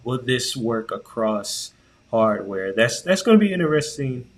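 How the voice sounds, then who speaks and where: A man's voice: mid-range voice, big pitch swings, unhurried. An American man co-hosting a podcast, talking casually about tech in an informal, slangy, opinionated way. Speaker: podcast co-host.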